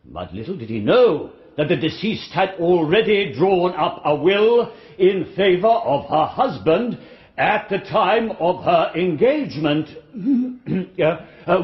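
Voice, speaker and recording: High, swooping, oratorical prosecuting barrister. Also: male voice. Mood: flustered. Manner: oratorical, measured, pedantic exposition. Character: formal, long-winded male prosecuting barrister addressing jury. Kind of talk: radio courtroom drama acting. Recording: dull, roomy radio drama recording.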